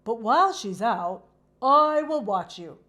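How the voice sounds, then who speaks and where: A storybook horse, as a woman reader puts him on, full of stubborn grumbles and dramatic exclamations. The pitch swings widely and the pace is unhurried. He is grumpy on the surface and soft-hearted underneath. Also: lively intonation. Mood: warm. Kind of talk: children's storybook character reading.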